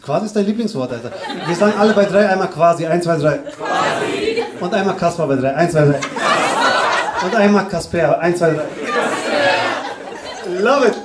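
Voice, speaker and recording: A man in his thirties doing loud, teasing crowd work at a live stand-up show, slangy and laughing often, into a handheld mic. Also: high voice, big pitch swings, normal speed, long pauses. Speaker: stand-up comedian. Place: small comedy club stage with audience. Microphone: handheld stage mic. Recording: echoey club with audience noise.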